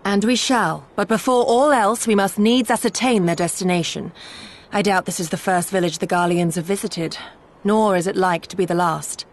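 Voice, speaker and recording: A woman plays a serious warrior retainer from a fantasy game. Her mid-range voice carries formal, old-fashioned phrasing with steady resolve and authority. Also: medium pitch, lightly acted. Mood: grave, cautious. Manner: formal, archaic, measured diction. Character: grave, resolute female warrior retainer, cautious leader. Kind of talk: video game cutscene acting.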